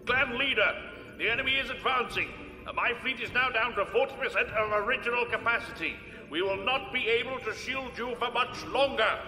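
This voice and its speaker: A man's voice: extremely high pitch, a little fast, bright, forceful tone, faint music behind, exaggerated voice. A man playing an embattled, loyal fleet admiral in an audio drama, formal and strained. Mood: grave urgency. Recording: echoey hall reverb.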